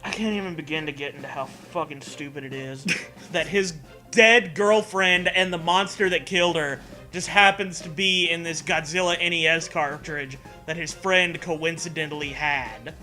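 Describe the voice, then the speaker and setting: Bright, forceful man riffing over music. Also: on the high side, normal speed. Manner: crude, sweary, sarcastic. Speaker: co-host of a riffing show. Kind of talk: mock-dramatic story reading with casual joking. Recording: music behind the voice.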